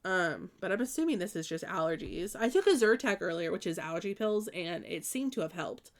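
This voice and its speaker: A woman's voice: on the low side, animated, brisk. A young woman from the Midwest, stuffed up with allergies, chatting casually with viewers on a live stream and rambling with lots of ums and likes. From American Midwest.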